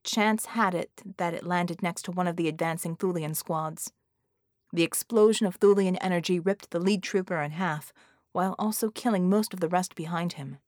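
A woman's voice: on the low side, normal speed, a little mellow, dry recording, clean studio sound. A woman reading an action story as an audiobook narrator, in a dramatic scripted style.

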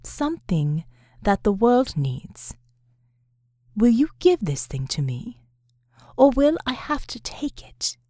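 A woman voicing an ancient, calculating general's soul in a woman's body for an audiobook, hushed, quiet and menacing. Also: fairly high pitch, sing-song, slow, long pauses, dry recording, clean studio sound, full character voice. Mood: quietly threatening. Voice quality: hushed, whispery. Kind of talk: audiobook character voice.

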